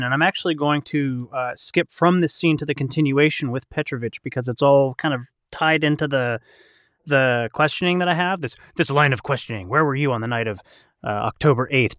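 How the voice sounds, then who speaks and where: A man's voice: a little high, a little fast, a bit bright, no room sound, clean studio sound. A man in a casual panel discussion, thinking aloud as he analyzes and explains. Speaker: cast member in a behind-the-scenes discussion.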